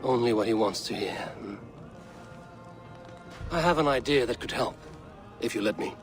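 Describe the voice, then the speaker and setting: Viking traveler voiced by a man, medium pitch, calm, measured, wry, kindly, game cutscene, faint music behind. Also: close to an everyday voice. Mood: calm, persuasive. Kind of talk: video game cutscene acting.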